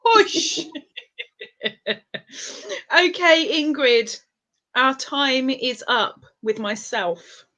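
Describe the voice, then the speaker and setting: A woman's voice: high-pitched, lively intonation, drawn-out words, pauses often, pushed and bright. A woman hosting a casual live-stream interview with a crafter, playful and teasing.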